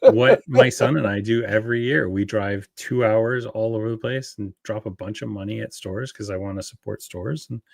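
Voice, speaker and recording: Male panelist, gravelly voice, very dry close sound, casual group discussion. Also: lowish voice, lively intonation, moderate pace, few pauses, darkish tone, no room sound. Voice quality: gravelly, husky.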